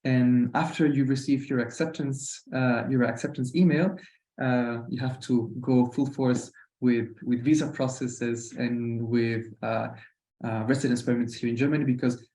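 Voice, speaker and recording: A man with a Costa Rican Spanish accent speaks in a mellow, relaxed voice through roomy webinar audio. He presents informally as a university representative, often hesitating and repeating his words. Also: medium pitch, a little slow. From Costa Rica.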